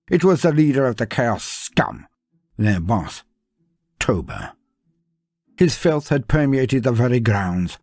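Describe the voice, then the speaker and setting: A man's voice: medium pitch, darkish tone, slightly rough, no room sound. A man narrating a scripted fantasy story in a theatrical, florid and whimsical way. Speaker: narrator of fantasy lore stories.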